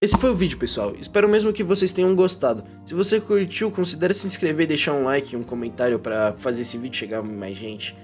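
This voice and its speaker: A man's voice: fairly high pitch, lively intonation, fast, few pauses. A man with a Brazilian accent narrating a scripted video essay in an informal, irreverent way. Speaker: YouTuber narrator and commentator. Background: prominent background music.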